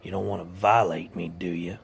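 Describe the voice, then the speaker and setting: A deep, gravelly outlaw boss gives orders in a rural Western drawl. He is scheming and menacing, commanding yet slyly coaxing, a villain from a western radio play with noise behind him. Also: steady tone, fairly quick. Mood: sly, threatening. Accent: rural American Western drawl. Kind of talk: western comedy audio drama acting.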